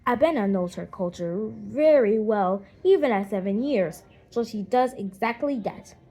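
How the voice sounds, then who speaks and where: A woman reading a children's audiobook in an expressive, animated storytelling manner. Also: medium pitch, unhurried. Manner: animated storytelling with acted character voices. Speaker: audiobook narrator.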